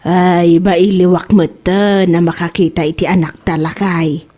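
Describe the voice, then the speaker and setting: A woman's voice: fairly low pitch, level tone, unhurried, warm tone. A woman playing a fretful, elderly wife and mother in an Ilocano comedy radio drama, with an Ilocano Filipino accent. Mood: wistful, hopeful.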